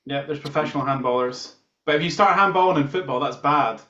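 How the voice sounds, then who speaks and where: A man's voice: on the high side, quick pace, pushed and bright. A man with a Scottish accent, a band musician chatting casually as an interview guest. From Scotland. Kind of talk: casual chat. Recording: roomy, dull lo-fi call sound.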